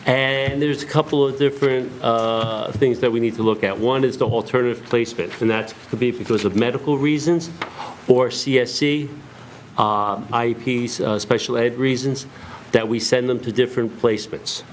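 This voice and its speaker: A hoarse man giving a halting, formal presentation to a school board, full of fillers. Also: medium pitch, steady tone, a little hiss or hum. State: hoarse, lost voice.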